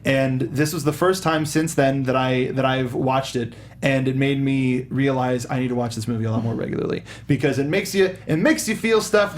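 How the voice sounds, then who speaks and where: A man hosting a casual film discussion panel, chatty and given to acting out little asides. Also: mid-range voice. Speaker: podcast host. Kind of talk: casual panel chat about films.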